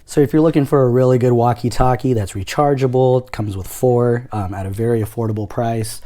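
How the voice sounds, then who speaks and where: Casual man with a mellow, dark voice. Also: medium pitch, steady tone, brisk. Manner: informal, step-by-step explaining. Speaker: product reviewer. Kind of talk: casual unboxing demo.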